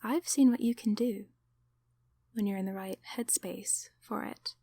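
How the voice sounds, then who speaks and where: A woman voicing a kindly sleep paralysis demon in an ASMR roleplay, encouraging and affectionate in a soft, soothing, often whispered delivery. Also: mid-range voice, fairly even intonation, a little slow. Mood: soothing, reassuring.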